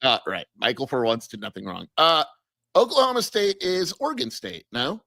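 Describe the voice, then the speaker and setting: A man's voice: a little high, very animated, moderate pace, creaky, sharp tone, no echo, studio quality. A man co-hosting a sports podcast, teasing his co-host and laughing often. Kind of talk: casual sports chat.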